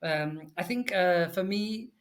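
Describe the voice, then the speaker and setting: A man in his forties from Wales, with a Welsh English accent, chatting casually as an English teacher, animated and full of fillers. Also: high voice, takes it slowly. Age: 40s. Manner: chatty and animated, lots of fillers and self-corrections. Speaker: English teacher and podcast guest. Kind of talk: casual conversational chat.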